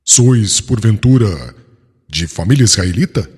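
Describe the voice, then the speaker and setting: An actor playing a haughty, harsh young doctor of the law who interrogates formally and pompously in a dramatized audiobook. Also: male voice, on the low side, animated, measured pace, darkish tone, slightly rough, exaggerated voice. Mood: haughty, accusing. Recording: long hall reverb, faint music behind.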